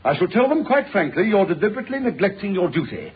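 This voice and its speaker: An indignant British novelist in an old-fashioned radio drama. His voice is high and swoops widely in pitch as he insists, haughtily, on being believed. The recording is narrow and phone-like, with some hiss. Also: big pitch swings, quick pace, husky, full character voice. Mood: haughty, threatening. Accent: British English. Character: agitated male novelist insisting he is a murderer.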